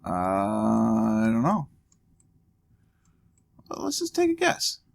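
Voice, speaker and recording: Gravelly man musing over a game. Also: fairly low pitch, huge pitch swings, takes it slowly. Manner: thinking aloud, musing and joking. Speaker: let's play host. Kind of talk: casual gameplay commentary.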